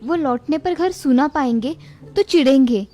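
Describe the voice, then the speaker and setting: A woman's voice: sits high, normal speed, mellow, soft background music, lightly acted. A woman playing a caring, devout village housewife in a moral-story cartoon. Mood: practical.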